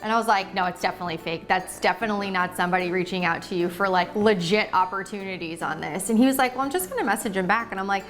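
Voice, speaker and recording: Woman interviewee, animated anecdote teller, faint music bed under her. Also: medium pitch, fast talker, few pauses. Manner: animated storytelling, quoting others.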